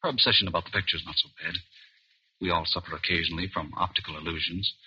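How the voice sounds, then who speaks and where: An actor playing a stern, authoritative doctor in an old-time radio suspense drama, speaking firmly and deliberately. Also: male voice, low-pitched, fairly even intonation, pushed and bright, husky, exaggerated voice. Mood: clinical. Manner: firm, deliberate, admonishing. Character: stern, authoritative male doctor, coolly insistent. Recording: muffled old radio recording.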